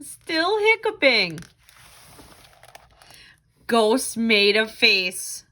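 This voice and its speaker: High, animated woman reading to children. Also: very slow. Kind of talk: expressive read-aloud and craft lesson for children.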